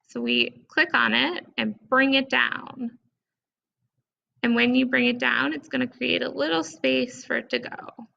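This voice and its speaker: Very creaky-voiced woman giving a casual tutorial. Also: high-pitched, a little slow, pushed and bright. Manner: informal, conversational. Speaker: tutorial presenter. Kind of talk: casual step-by-step software screencast tutorial. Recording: dull, lo-fi microphone sound.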